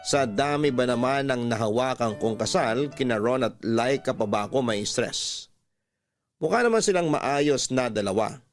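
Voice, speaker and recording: A man's voice: medium pitch, lively intonation, normal speed, faint music behind. A man with a Filipino accent reading a first-person story as a radio storyteller.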